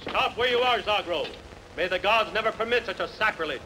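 Man acting a forceful, defiant ancient warrior hero. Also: sits high, animated, fairly quick. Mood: defiant, commanding. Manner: declamatory, earnest. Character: bold, defiant wronged warrior hero demanding justice. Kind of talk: dubbed sword-and-sandal film dialogue. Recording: noisy dubbed film soundtrack.

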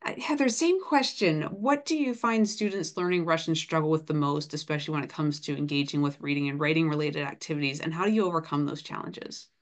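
A woman's voice: deep voice, a little fast, few pauses. Woman moderating an online panel. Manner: affirming, asks questions. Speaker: panel facilitator.

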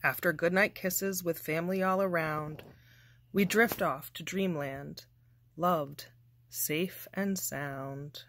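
A woman reading a children's picture book aloud with deliberate, expressive, measured phrasing. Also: on the low side. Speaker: storybook reader.

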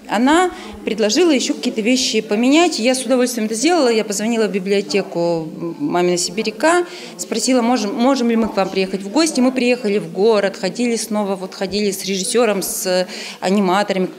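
A middle-aged woman giving spontaneous interview answers, often breaking off and repeating words as she goes. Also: medium pitch. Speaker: screenwriter and magazine editor. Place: indoor room with chairs and bookshelves.

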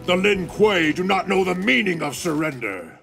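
A man's voice: high voice, lively intonation, normal speed, creaky. A man playing a cold, hostile ninja warrior who speaks curtly and defiantly, heard from a distance over game music. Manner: curt, defiant. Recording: distant voice under loud music.

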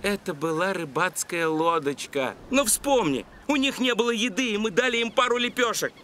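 Cartoon pirate crewman: high, bright, forceful, grumbling, faint music. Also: expressive, normal speed, slight background noise. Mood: exasperated. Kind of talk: animated film acting.

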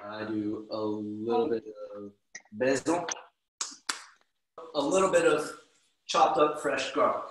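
A man's voice: mid-range voice, fairly even intonation, slow, pauses often, fairly bright tone, reverberant, slight background noise, poor audio. A middle-aged man from the United States explaining a recipe casually on a video call from his home kitchen, with restarts. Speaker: guest cook. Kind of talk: casual cooking demonstration. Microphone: laptop video call.

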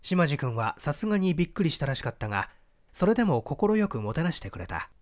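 Expressive male narrator, lively pitch. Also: a little fast. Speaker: audiobook reader. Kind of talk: scripted literary narration.

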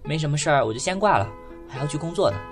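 Young street hustler guy voiced by a woman, blunt, protective, hot-tempered, lowish voice with lively intonation, for audio drama. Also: racing, over music. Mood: subdued. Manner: emotional, blunt, slangy. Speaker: voice actor.

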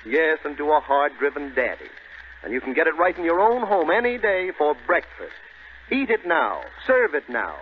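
A tinny, faintly hissy 1930s radio advert with a folksy pitchman. His voice is fairly high and very animated as he questions and persuades like an announcer. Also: moderate pace. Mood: persuasive.

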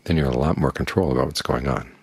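An older man teaching as a Buddhist monk, his voice very low and strongly husky. He speaks calmly and plainly, and the recording is close and dry. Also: racing, no echo. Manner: calm, plain-spoken, uses homely images. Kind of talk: evening dharma talk.

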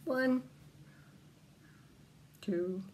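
A middle-aged woman chatting casually to camera at home in a droll way with theatrical pauses, as an author giving trivia. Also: high-pitched, darkish tone. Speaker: children's author. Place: indoors, home room. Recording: faint room noise.

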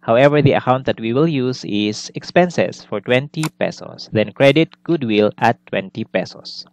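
A man's voice: mid-range voice, lively intonation, moderate pace. A man giving a recorded accounting lesson, explaining methodically step by step and restating his points. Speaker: accounting instructor. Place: indoors, screen-recorded lesson voice-over.

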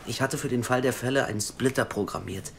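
A cocky young hacker who needles his friend with jokes. His voice has a gravelly grain. It sits at an ordinary middle pitch for a man. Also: a little hiss or hum. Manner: teasing and jokey, rushes when excited. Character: cocky, teasing male hacker, tech-savvy. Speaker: hacker colleague. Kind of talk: audio drama acting.

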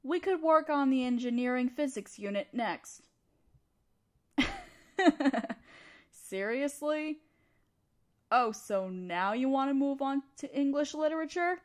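Female college study-partner crush, played by a woman for intimate audio roleplay: high-pitched, conversational, teasing yet supportive, taking her time. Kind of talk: intimate scripted roleplay acting addressed to the listener.

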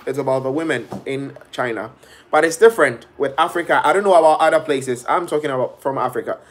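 A man's voice: mid-range voice, fast talker. A young man from Ghana talking casually and animatedly into a webcam in a small bedroom, live-streaming to viewers. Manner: animated, gestures a lot, quotes people in shifting voices. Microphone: laptop or phone webcam at arm's length.

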